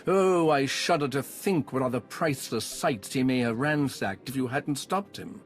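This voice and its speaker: A man's voice: medium pitch, moderate pace, close to an everyday voice. A man playing an enthusiastic, courteous archaeologist in a video game, speaking in a formal, effusive, wordy way. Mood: fascinated. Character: enthusiastic, courteous male archaeologist expedition leader, scholarly and eager. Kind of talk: video game dialogue acting. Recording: music behind the voice.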